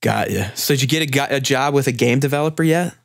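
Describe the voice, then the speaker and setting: A man's voice: medium pitch, quick pace, vocal fry. Guy in his thirties hosting a podcast, loose casual chat, swears a bit. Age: 30s. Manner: informal, swearing. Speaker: podcast host. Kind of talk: casual panel discussion.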